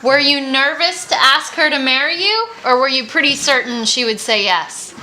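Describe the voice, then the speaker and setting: A woman's voice: sits high, animated, normal speed, sharp tone, slight background noise, poor audio. A woman asking her grandfather questions in a casual family interview, with short encouraging replies.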